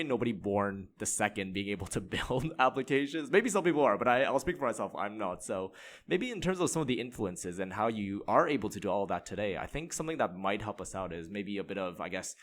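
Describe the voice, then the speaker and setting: A man hosting a podcast, asking long rambling questions full of likes and you knows. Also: fairly low pitch. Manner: rambling, many fillers and restarts. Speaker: podcast host. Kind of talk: casual interview talk.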